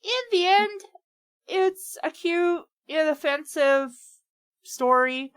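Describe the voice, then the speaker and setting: A woman with a somewhat high-pitched voice. She hosts casually, chatting and reading aloud in an informal conversational style. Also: animated, slow pace. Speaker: podcast host leading the discussion. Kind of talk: casual chat and read-aloud.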